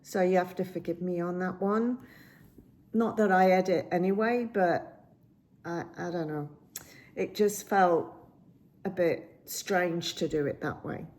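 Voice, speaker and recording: Slow, croaky-voiced woman chatting about stitching. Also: lowish voice, darkish tone, a little hiss or hum. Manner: rambling, many ums and restarts. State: slightly croaky voice. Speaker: cross stitch vlogger. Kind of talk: casual show-and-tell chat. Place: indoors at home by a window. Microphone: phone camera.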